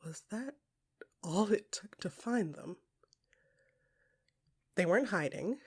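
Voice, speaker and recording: A woman with a husky, breathy voice narrates an intimate audio drama addressed straight to the listener. Her delivery is expressive and often hushed, moving between soft musing and more emphatic phrasing. She sets short phrases apart with deliberate pauses. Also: mid-range voice. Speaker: narrator and performer of an audio fiction podcast. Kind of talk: intimate second-person dramatic storytelling.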